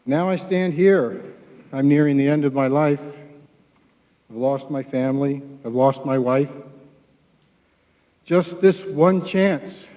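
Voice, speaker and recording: A man, a military veteran, reads his own poem solemnly in short, measured phrases. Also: a little high, warm tone. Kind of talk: solemn poetry reading. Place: large hall at a public ceremony. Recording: echoey hall with long reverb.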